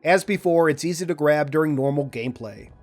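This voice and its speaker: A man reading a scripted video game walkthrough in a measured, orderly, instructional way. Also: fairly high pitch. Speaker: video game guide narrator. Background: background music.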